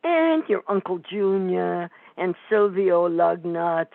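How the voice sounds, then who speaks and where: A woman's voice: on the low side, very animated, slow, gravelly, no room sound, full character voice. A woman playing a sarcastic, nagging, middle-aged mobster's wife and mother in an amateur comic radio play, heard through a tinny recording. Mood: conciliatory.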